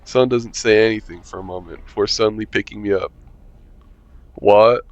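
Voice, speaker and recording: A man's voice: fairly low pitch, steady tone, normal speed, highs cut off. A man reading a visual novel aloud for a let's-play, chuckling and adding wry asides. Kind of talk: casual let's-play narration.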